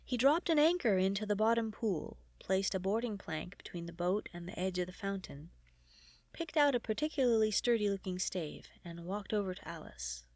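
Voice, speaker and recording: A woman narrating a story as an audiobook reader, in an expressive, animated storytelling manner. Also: medium pitch, moderate pace, no echo.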